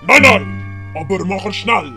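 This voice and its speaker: Creaky, high-voiced villainous old great-uncle. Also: male voice, unhurried, heavily acted. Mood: irritated. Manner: theatrical, switching between threats and oily flattery. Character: menacing, fawning old villain great-uncle, a master of the house. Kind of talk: audiobook character acting. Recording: prominent music behind the voice.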